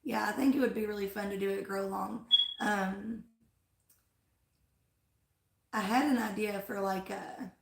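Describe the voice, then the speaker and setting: A woman's voice: mid-range voice, fairly even intonation, measured pace, gravelly, pauses often. A woman hosting a flower-farm livestream in casual chat, rambling with lots of "like" and "um", her voice worn after being sick. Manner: rambling, fills with "like" and "um". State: losing her voice after an illness. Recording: echoey room.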